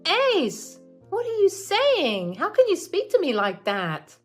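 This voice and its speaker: Woman putting on a nasty greedy mother-in-law, high and shrill, swings all over the place. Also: very animated, measured pace, a bit bright, faint music behind. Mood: shrill excited.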